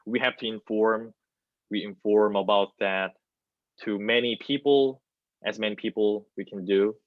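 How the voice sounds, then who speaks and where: A man in his twenties from South Korea with a Korean accent, giving halting answers full of repeated phrases on a video call. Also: lowish voice, steady tone, dry recording. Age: 20s. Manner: halting, repeats phrases, non-native English. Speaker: theater student. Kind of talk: hesitant spoken answer in an online panel discussion. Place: small plain indoor room. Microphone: video call, wireless earbuds. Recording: muffled video-call audio.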